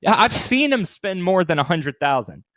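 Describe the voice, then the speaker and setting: A man's voice: high-pitched, big pitch swings, normal speed, fairly bright tone, dry recording. A man co-hosting a casual podcast, chatting off the cuff in loose, slangy language. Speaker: podcast co-host.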